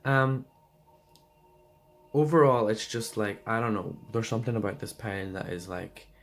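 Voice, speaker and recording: A man giving a casual tarot card reading, hoarse and losing his voice, rambling loosely with lots of fillers. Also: on the low side, normal speed.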